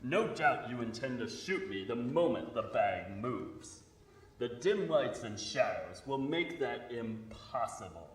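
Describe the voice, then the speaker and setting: An actor playing a smug, scheming villain in 1930s radio drama style, theatrical and menacing. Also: male voice, mid-range voice, big pitch swings, unhurried, echoey room, distant mic. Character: Dark Shadow, smug scheming invisible villain, extorting the city.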